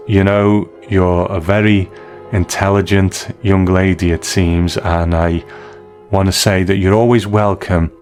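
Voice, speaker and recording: A man with a low voice hosts a podcast in a conversational way. He speaks appreciatively and directly to his listeners. Soft music plays behind him. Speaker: podcast host. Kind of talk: podcast listener feedback and sign-off.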